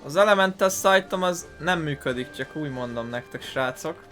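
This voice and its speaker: A man's voice: a little high, brisk, a bit bright. Young Hungarian man, casual sweary gamer. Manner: informal, sweary. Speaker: gaming YouTuber. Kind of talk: casual let's play commentary. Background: game music.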